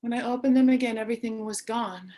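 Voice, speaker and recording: A woman's voice: fairly high pitch, fast talker, warm tone, natural voice. A woman playing a lone, anxious apocalypse survivor in a theatrical stage monologue, wry and conversational. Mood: haunted, subdued.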